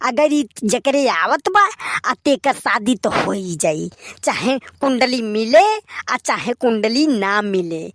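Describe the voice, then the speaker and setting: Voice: mid-range voice, lively intonation, takes it slowly, fairly bright tone, no room sound, studio quality. A performer voicing an opinionated, grumbling young village bachelor in a comedy cartoon, with an Awadhi accent and a complaining, lecturing delivery. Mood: preachy, cocky.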